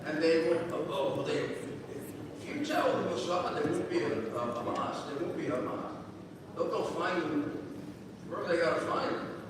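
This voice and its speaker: Brief: older male guest, slightly high voice, rambling anecdotes, distant mic in a reverberant hall with noise behind. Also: animated, moderate pace. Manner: rambling, frequent restarts and asides. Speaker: guest speaker. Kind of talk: anecdotal storytelling. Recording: echoey hall from far off, background noise.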